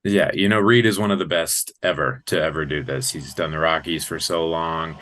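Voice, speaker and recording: American guy, really low but bright punchy voice, sports announcer chatting in an interview, super keen and sincere. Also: fairly even intonation, normal speed. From Colorado, United States. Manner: enthusiastic, earnest. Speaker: sports public-address announcer, interview guest. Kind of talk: conversational interview.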